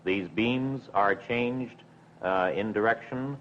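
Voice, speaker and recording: A middle-aged man, a broadcasting official, answering in a measured, careful, formal way with some hesitation in a 1950s television interview. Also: on the low side, fairly bright tone. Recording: dull 1950s television recording with hum.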